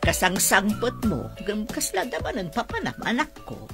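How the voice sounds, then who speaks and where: A woman's voice: mid-range voice, sing-song, moderate pace, creaky, a bit bright, faint music behind. A woman playing an affectionate, fretful elderly mother in a radio drama, speaking with a Filipino Ilocano accent. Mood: motherly concern.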